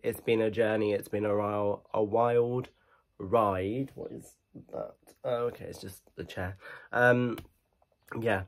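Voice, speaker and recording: A man's voice: on the low side, fairly even intonation, a little slow. A young man with a blocked nose, chatting casually to camera as a shopping vlogger, rambling with lots of ums and restarts. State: stuffy-nosed from hay fever. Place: living room at home, then a clothing store.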